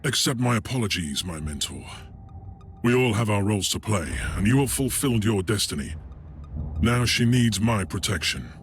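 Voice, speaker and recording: An actor playing a self-assured fanatical renegade in a video game, measured, formal and quietly menacing. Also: male voice, on the low side, expressive, a little hiss or hum, lightly acted. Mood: fervent, assured. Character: calm, fanatical male renegade, coldly courteous and self-assured. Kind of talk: theatrical video game voice acting.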